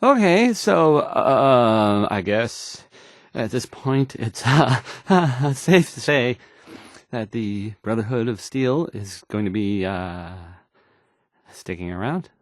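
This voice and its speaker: An actor playing an awkward, nervous young radio DJ in a video game, stammering and rambling through news reports. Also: male voice, mid-range voice, animated, takes it slowly, no room sound, studio quality. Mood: nervous, unsure. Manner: hesitant, stammering, rambling, self-doubting. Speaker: radio newsreader. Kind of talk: bumbling news announcing.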